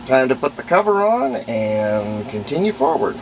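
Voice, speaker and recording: Plainspoken man over clear background noise. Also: mid-range voice, sing-song, moderate pace, low-quality recording. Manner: unscripted, plainspoken, thinking aloud while working. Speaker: host of a radio restoration video. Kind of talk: hands-on repair demonstration, casual narration.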